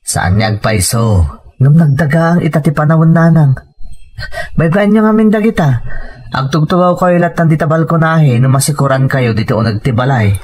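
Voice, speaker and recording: An actor with an Ilocano accent playing an earnest, worried husband and devoted son in an audio drama. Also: male voice, a little high, animated, moderate pace, a little mellow, close to an everyday voice. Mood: concerned.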